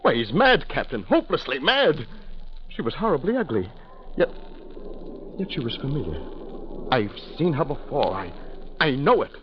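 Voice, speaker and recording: An actor playing an anxious gentleman passenger, easily shaken, in an urgent, melodramatic 1940s radio manner. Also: medium pitch, very animated, moderate pace, long pauses, lightly acted. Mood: agitated. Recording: muffled, hissy 1940s radio recording.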